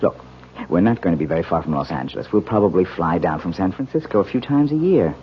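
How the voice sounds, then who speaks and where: Very deep-voiced coaxing American husband. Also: male voice, lively intonation, quick pace. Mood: placating. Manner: coaxing, casual, affectionate. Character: smooth-talking young husband on honeymoon, outwardly affectionate. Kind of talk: 1970s radio drama acting. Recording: dull radio recording with faint noise.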